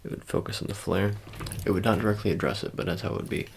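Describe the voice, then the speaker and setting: A man doing an ASMR roleplay, talking casually to the viewer in a halting, rambling, self-correcting way. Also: lowish voice, fairly even intonation, vocal fry, slight background noise. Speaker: ASMR creator.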